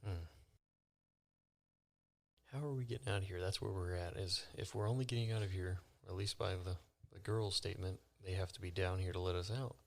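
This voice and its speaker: A man's voice: low voice, steady tone, a little fast, vocal fry. A man talking casually and informally as a player in a tabletop game, often questioning. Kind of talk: casual improvised game chat.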